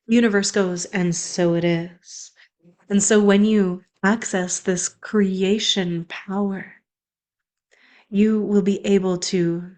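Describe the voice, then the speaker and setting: A woman's voice: medium pitch, darkish tone. A young woman, a spiritual coach, speaking in an earnest, flowing, affirming way in an inspirational monologue.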